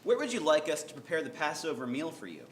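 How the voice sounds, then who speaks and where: A man's voice: fairly high pitch, huge pitch swings, fast talker. A man reading the part of an earnest, insistent disciple in a reader's theater scripture performance. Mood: questioning. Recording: echoey room, faint background noise.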